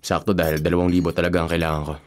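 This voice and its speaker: An actor playing a hard-up, skeptical younger brother who grumbles in exasperation, in a radio drama. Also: male voice, low voice, flat delivery, very fast, a little mellow, lightly acted. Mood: exasperated.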